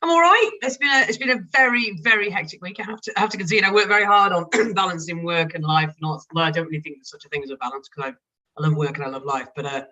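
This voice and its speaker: A woman, a company chief executive, answering interview questions with lively, effervescent energy, though she is a bit tired. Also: on the low side, recorded from a distance, poor audio. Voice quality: lively, fast-talking, effervescent.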